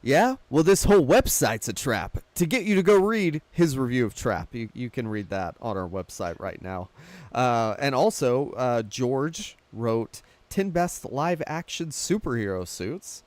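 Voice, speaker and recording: A man's voice: mid-range voice, expressive, unhurried, a little mellow, no echo. Male podcast host, medium register, conversational, dry recording. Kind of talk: casual film discussion.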